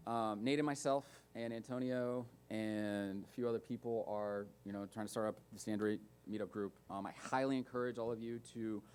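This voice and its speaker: A man's voice: on the low side, smooth. A man speaking at a developer conference, talking casually about speech recognition and taking audience questions, with many fillers and asides. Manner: relaxed, lots of fillers and asides. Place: conference room.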